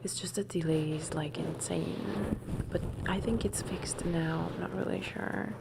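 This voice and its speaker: Woman, soft-spoken, lowish voice, slow unhurried talk, loud background noise. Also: fairly even intonation, vocal fry. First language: Italian. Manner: soft-spoken, drifting into whispers. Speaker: ASMR streamer. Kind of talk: soft-spoken live-stream chat.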